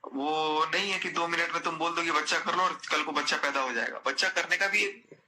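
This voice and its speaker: Sharp, insistent man on a call. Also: fairly high pitch, a little fast. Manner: insistent, repeats phrases. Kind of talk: heated personal phone conversation. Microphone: phone call. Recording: recorded phone call with faint noise.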